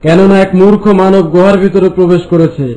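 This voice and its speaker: Man voicing a high-pitched boastful demon master. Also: flat delivery, a little fast, warm tone, close to an everyday voice, low-quality recording. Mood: menacing, commanding. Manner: commanding, boastful. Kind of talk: Bengali fairy-tale cartoon acting.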